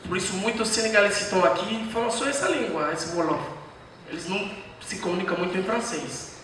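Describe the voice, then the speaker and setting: A man hosting a post-screening discussion, explaining things at an unhurried pace and pausing to gather his thoughts. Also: sits high, a bit bright. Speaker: panel host. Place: screening room, large hall. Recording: echoey hall, distant, faint noise.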